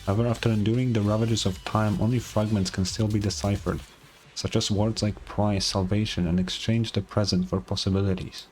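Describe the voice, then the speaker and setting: A man's voice: on the low side, little pitch movement, brisk, a little mellow. A man playing a video game, reading story narration aloud and adding murmured asides, with game music behind him. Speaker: game streamer. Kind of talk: gameplay commentary with read-aloud story narration.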